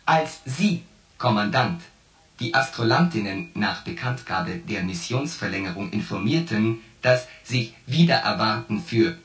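A roomy, slightly distant voice over music a ship's artificial intelligence in a sci-fi radio play. It speaks slowly and deliberately, laying out cold logic point by pedantic point like a formal accusation. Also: mid-range voice, lively intonation, a bit bright. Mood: coldly analytical. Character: shipboard artificial intelligence, coldly logical, methodically accusing the commander. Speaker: radio drama actor. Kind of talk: science fiction radio play dialogue.

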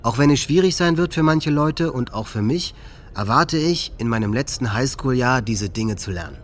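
An actor playing an earnest teenage high-school boy reading a formal, measured statement in a radio play. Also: expressive, soft background music, close to an everyday voice. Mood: earnest, hopeful.

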